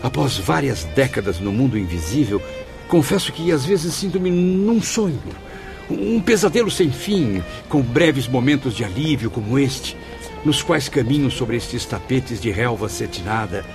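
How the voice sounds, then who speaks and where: An actor playing a melancholy, awed spirit in a Brazilian radio drama, speaking in an ornate, emotional, theatrical way. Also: male voice, medium pitch, animated, unhurried, vocal fry. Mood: wistful. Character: Camilo, melancholy suicide spirit, awed and grateful. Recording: music under the voice.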